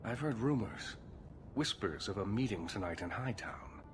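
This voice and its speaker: An actor playing a grave elder male mage leader in a video game, speaking in a formal, careful, measured way. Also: on the low side, animated, music in the background, lightly acted. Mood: worried, measured. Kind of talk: video game cutscene acting.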